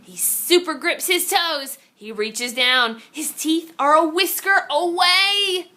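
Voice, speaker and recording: A woman's voice: extremely high pitch, slow pace, pushed and bright. A woman reading a children's picture book aloud in a theatrical, suspenseful way, drawing out her exclamations. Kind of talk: animated children's story read-aloud.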